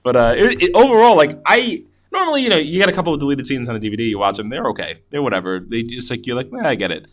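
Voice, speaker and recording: A man's voice: mid-range voice, very animated, very fast, hardly any pauses. A man co-hosting a podcast, chatting casually about films and often chuckling as he recounts scenes. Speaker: podcast co-host. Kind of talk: casual film chat.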